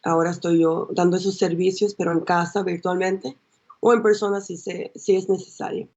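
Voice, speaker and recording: A young woman from the United States with a Salvadoran and Mexican-influenced Spanish accent, a consultant guest talking in a halting way with restarts over a video call. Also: fairly low pitch, steady tone, a little mellow, lo-fi sound. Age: young adult. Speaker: guest, early childhood consultant. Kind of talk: casual interview.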